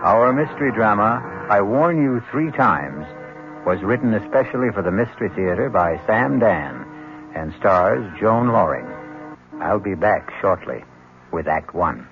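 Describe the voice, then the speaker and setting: A man hosting and narrating a 1970s radio mystery drama in a measured, ominous manner. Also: on the low side, lively intonation. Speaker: host and narrator. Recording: dull old radio broadcast with loud music.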